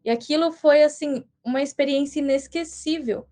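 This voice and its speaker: Earnest young woman, slightly high voice. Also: dark tone, faint music behind. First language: English. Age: young adult. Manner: earnest, measured storytelling with small restarts. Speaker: guest missionary. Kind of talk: casual podcast interview.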